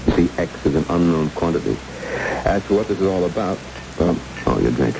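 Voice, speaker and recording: A very low, creaky-voiced kidnapper from an old radio play. He speaks with smooth, unhurried courtesy that carries menace. Hiss and rain sit clearly over the broadcast. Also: expressive. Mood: smooth, controlled. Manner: unhurried, mock-genial, menacing politeness. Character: smooth, courteous kidnapper who sells state secrets. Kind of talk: vintage radio drama acting.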